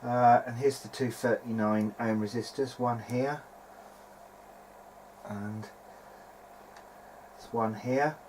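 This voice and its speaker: Man, medium pitch, casual workbench commentary, hesitant, thinking aloud, unscripted, noisy amateur home recording. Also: fairly even intonation, slow, long pauses, darkish tone. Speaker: hobbyist video maker repairing vintage audio gear. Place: home workbench, speaking behind a handheld camera.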